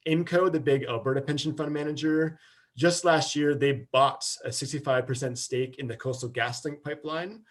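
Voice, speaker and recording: A man's voice: a little high, steady tone, moderate pace. A man giving a casual webinar slide presentation as a campaigner, explaining loosely with plenty of fillers over a video call.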